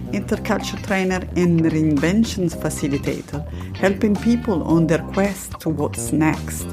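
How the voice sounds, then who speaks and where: A woman with a fairly low voice hosts a podcast interview. She speaks in a measured way with occasional ums and self-corrections. Faint music can be heard behind her. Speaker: podcast host and life coach.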